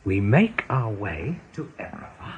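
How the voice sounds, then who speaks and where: An actor playing a determined rabbit chief in a radio play, earnest, commanding and persuasive. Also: male voice, fairly low pitch, sing-song, a little slow, husky, muffled recording, full character voice.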